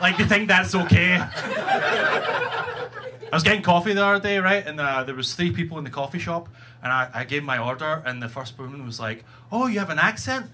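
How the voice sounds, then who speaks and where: A man's voice: on the high side, big pitch swings, moderate pace, sharp tone. A man with a Glaswegian Scottish accent doing a sweary, punchy stand-up set on a club stage into a handheld mic. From Scotland. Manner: brash, sweary, punchy delivery. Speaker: stand-up comedian. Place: comedy club stage, indoors. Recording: live club recording with audience noise.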